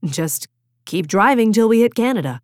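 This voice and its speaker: A woman's voice: mid-range voice, animated, normal speed, darkish tone, no room sound, clean studio sound, voice pushed up, lightly acted. A woman voicing a restless, wistful teenage boy in an audiobook, with casual, half-joking pleading. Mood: wry. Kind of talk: audiobook character dialogue.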